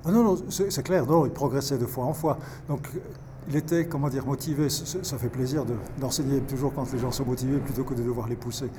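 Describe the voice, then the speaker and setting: A man in his fifties, a pastor volunteering as a tutor, answering interview questions in a halting way with many restarts. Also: mid-range voice, dark tone, a little hiss or hum. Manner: halting, restarts words often.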